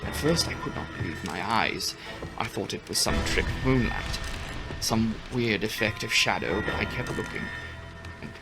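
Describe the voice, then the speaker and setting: A man's voice: on the low side, animated, normal speed, creaky. A man narrating a classic novel as a dramatic audiobook, in a literary storytelling style. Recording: loud music behind narration. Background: music.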